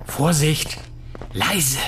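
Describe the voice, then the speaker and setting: A man playing a level-headed professor in a German science-fiction audio drama, speaking in a measured, analytical way. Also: on the high side, a bit bright. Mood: wary. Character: level-headed male professor exploring an alien mystery. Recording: echoey hall reverb with noise.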